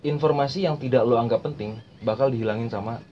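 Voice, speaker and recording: A man's voice: mid-range voice, fast, darkish tone. A young man hosting a casual podcast chat, explaining language learning in slang and repeating phrases as he goes. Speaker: podcast host. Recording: muffled lo-fi recording with faint noise.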